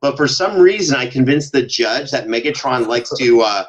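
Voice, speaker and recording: A man chatting casually as a podcast guest, telling animated stories and acting out the exchanges he quotes. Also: medium pitch, normal speed. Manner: banters, acts out quoted exchanges. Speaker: podcast guest, show co-host and audio drama producer.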